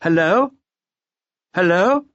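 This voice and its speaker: Male narrator as hotel receptionist, much raised pitch, irritable, puzzled, audiobook telephone scene, dull sound. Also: lively intonation, slow, a bit bright, no room sound, heavily acted.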